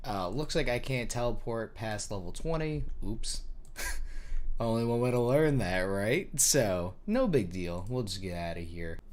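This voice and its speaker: A man with a somewhat low voice, roughened and hoarse from being sick, talks over his gameplay in a loose, unscripted way. He pokes fun at himself and thinks out loud. Small victories make him perk up. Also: measured pace. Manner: self-mocking, easily excited, thinks aloud. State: hoarse from a cold. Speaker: gaming YouTuber. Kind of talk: casual gameplay commentary.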